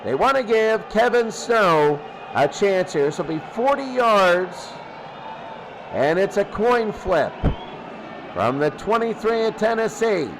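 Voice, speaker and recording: Clear background noise surrounds a man calling a football game. His voice is high, and he follows the action in short, clipped play-by-play calls. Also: very animated, slow pace. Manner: clipped, present-tense calls. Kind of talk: live sports commentary. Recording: loud noise behind the voice.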